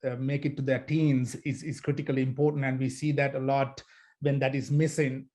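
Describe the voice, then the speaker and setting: A middle-aged man from Sri Lanka speaking on a panel over a video call, measured, with many fillers and restarts. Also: mid-range voice, flat delivery, a little mellow. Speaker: nonprofit founder, panel speaker. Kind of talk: panel discussion talk.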